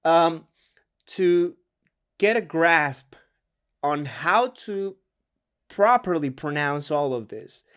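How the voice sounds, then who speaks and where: Higher-pitched man with a Spanish accent. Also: animated, slow pace, long pauses, a bit bright, clean tone. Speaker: actor giving a voice and diction lesson. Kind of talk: casual instructional talk to camera.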